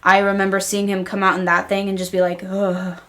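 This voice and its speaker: A woman's voice: medium pitch, monotone, brisk, a bit bright, slight background noise. A woman podcast host chatting casually and enthusiastically, like a keen fan, with plenty of "like" in her phrasing. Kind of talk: casual chat.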